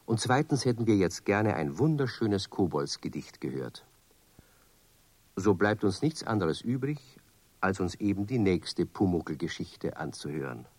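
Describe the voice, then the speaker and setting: A man's voice: low voice, very animated, measured pace, a little mellow, slight background noise. A man narrating a scripted children's story with a wry storytelling manner.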